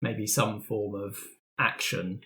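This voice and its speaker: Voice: medium pitch, steady tone, slow pace, bright, forceful tone, slight background noise. A game master explaining what a player needs to do, in improvised tabletop game talk.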